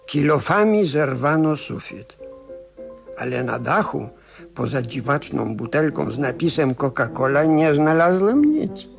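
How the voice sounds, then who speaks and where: An actor playing a pedantic, earnest expert in a 1970s radio play, speaking in a formal, carefully hesitant way. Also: male voice, fairly high pitch, gravelly. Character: pedantic, earnest male expert reporting strange events gravely.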